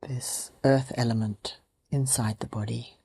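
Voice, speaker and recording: A woman guides a meditation in a very low, soft-edged, mellow voice. She speaks slowly and gently, offering a few words at a time. Long pauses separate her short phrases. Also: fairly even intonation, vocal fry, husky. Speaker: meditation teacher.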